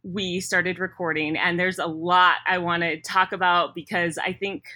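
A woman's voice: on the low side, level tone, normal speed, pushed and bright. A woman hosting an interview, asking questions in a friendly and easygoing way with some fillers. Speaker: podcast host and interviewer.

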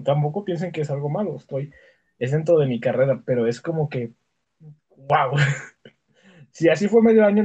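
A man's voice: on the high side, a little mellow. A young adult man with a Mexican accent chatting casually as a podcast co-host, telling personal stories in slangy, sweary language. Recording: dull lo-fi voice audio.

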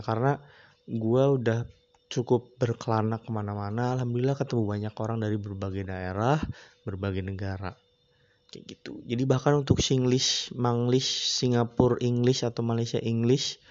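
A man hosts his own podcast alone, drifting through his thoughts in a loose, slangy way and pausing often to search for words. His voice sits at an ordinary pitch with a dark, mellow tone. The recording sounds a little dull and lo-fi. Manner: relaxed, rambling, slangy, with frequent hesitations. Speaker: podcast host. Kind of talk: casual solo podcast chat.

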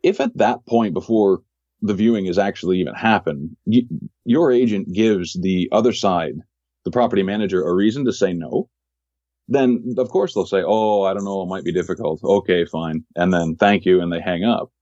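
A man, a podcast guest who works as a real estate agent, telling stories of his work in a measured way and often repeating words as he finds his phrasing. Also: low-pitched, warm tone, no echo, lo-fi sound. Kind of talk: casual interview storytelling.

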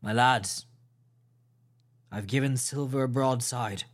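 A man reading aloud and voicing a stern ship's captain, stiff and disciplined, speaking in a clipped, measured, commanding way. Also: mid-range voice, lightly acted. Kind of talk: audiobook character voice.

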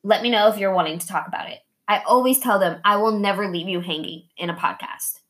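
A woman's voice: mid-range voice, fast. The woman at the kitchen table who tells it straight with plenty of swearing, playing both sides of every conversation she describes, as she does hosting her podcast. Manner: blunt, swearing freely; animated, acting out imagined dialogue. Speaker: podcast host. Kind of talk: casual, candid conversation.

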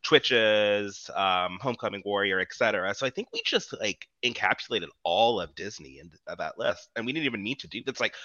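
Young man, podcast co-host, low voice with a lot of swing, bright tone, dry dull recording. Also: brisk, few pauses, no room sound. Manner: animated, jumps into a high voice at times. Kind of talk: casual chat.

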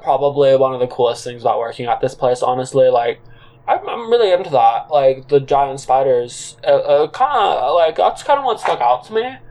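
A man narrates a comic audio drama in the first person. He takes his time, speaking slowly in a medium-pitched voice, with a halting and theatrical delivery. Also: darkish tone, low-quality recording. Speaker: narrator and counter worker character.

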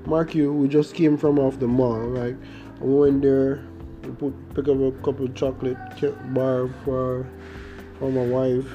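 A man's voice: mid-range voice, little pitch movement, unhurried, mellow, highs cut off. A young Jamaican man with a Jamaican accent, hosting his own podcast and telling a story in a rambling, hesitant way. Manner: rambling, hesitant, many fillers and restarts. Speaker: podcast host. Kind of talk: casual storytelling monologue. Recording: guitar music playing behind.

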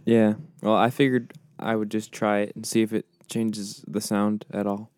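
A man co-hosting a casual podcast, soft-spoken and a bit mumbly, with a laid-back delivery. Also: lowish voice, little pitch movement, moderate pace, clean tone, no echo, studio quality. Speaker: podcast co-host. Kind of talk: casual chat.

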